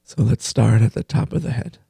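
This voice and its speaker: A man leading a guided meditation in a gentle, unhurried way, pausing between short phrases. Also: mid-range voice, gravelly, breathy edge, no echo. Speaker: meditation teacher and host.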